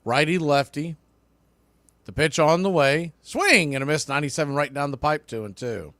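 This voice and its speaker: A man's voice: fairly high pitch, normal speed, bright, forceful tone, no room sound. A man doing live baseball play-by-play in clipped short phrases, with casual asides.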